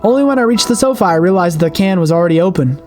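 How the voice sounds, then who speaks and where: A woman hosting a gaming video, reading a horror game's story aloud in an expressive storytelling manner with her own asides. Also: lowish voice, fast talker. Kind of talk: dramatic read-aloud narration of a horror game with asides. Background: game soundtrack music.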